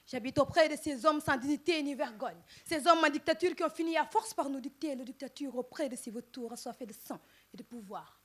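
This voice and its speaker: A woman from southern Senegal with a Senegalese French accent, reciting poetry in an impassioned, emphatic manner with dramatic pauses. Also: sits high, a bit bright. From Casamance, southern Senegal. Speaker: poet reciting at a poetry contest. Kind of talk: declaimed slam poetry recitation.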